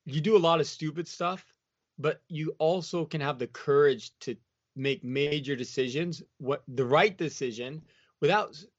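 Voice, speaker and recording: A man's voice: on the high side, a bit bright, no echo. A man talking as an interview guest, in a loose, rambling way full of "you know" and "like". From United States. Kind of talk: casual reflective interview talk. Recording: dull lo-fi dry sound.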